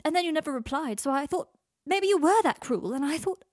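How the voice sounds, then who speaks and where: A woman's voice: on the high side, lively intonation, a little fast, no echo, clean studio sound, pitched above the performer's own voice. A woman doing a scornful, furious young man. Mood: yelling. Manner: scornful, shouting, voice near cracking. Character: young man, cold, proud, furious, cutting.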